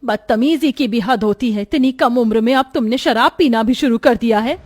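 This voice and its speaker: Woman acting high-voiced, emotional family-drama heroine. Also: normal speed, a little mellow, close to an everyday voice. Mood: worried scolding. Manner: emphatic, emotional. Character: dramatic young and motherly women of a troubled family. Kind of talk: melodramatic moral story dialogue.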